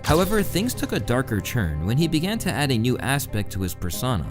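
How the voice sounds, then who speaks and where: Male narrator for a commentary video, wry and mocking, lively delivery. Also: medium pitch, a little fast. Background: background music.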